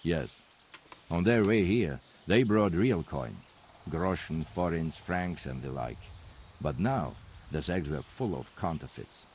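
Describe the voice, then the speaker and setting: A grim, stern knight with a very low voice, giving blunt reports. Faint outdoor noise sits behind him in this game cutscene. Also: male voice, animated, slow pace, darkish tone. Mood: terse report. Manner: blunt, matter-of-fact reporting. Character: grim male knight investigating counterfeiters. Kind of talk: video game cutscene acting.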